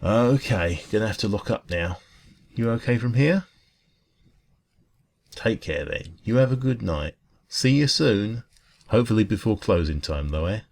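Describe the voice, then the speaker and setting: A man plays a kindly dragon café keeper with a low, gravelly voice. He is soft-spoken and chatty, reassuring a late customer in a soothing ASMR roleplay. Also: unhurried, pauses often, full character voice. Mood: warm farewell. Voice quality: soft, calming. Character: kind, friendly male dragon café owner closing up shop.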